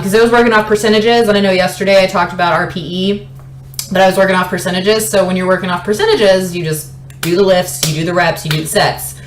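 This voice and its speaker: A woman in her late twenties chatting casually and telling personal stories as a fitness vlogger, in an animated way, often interrupting herself. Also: medium pitch. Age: late 20s. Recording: roomy echo, light background noise.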